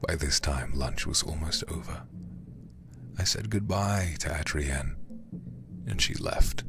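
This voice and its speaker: Super low voiced guy doing asmr game stream, whispery, mic right up close, noisy background. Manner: hushed, breathy whispering, slow deliberate reading. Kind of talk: ASMR whispered game playthrough, reading text aloud. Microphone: close-up ear-to-ear ASMR microphone.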